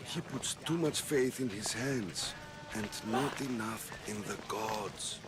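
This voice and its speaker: An actor playing a nervous, pious old temple priest in a video game, hesitant and evasive in manner. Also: male voice, lowish voice, background noise. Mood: reverent storytelling. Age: older. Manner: hesitant, halting, secretive. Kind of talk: video game dramatic dialogue.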